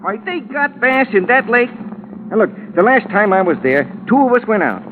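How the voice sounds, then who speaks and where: Very high-pitched gabby jovial tourist. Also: male voice, normal speed, narrow, tinny audio. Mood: jovial, chatty. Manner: gabby, excitable. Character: chatty, jovial, self-amused male tourist wit. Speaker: airline seatmate in a radio drama. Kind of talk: 1950s radio drama acting. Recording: noisy, thin vintage radio recording.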